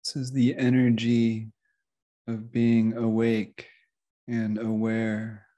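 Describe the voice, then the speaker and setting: Slow, mellow man guiding meditation. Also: medium pitch, completely flat delivery. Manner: gentle, unhurried, with long pauses. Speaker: meditation teacher. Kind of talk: guided meditation.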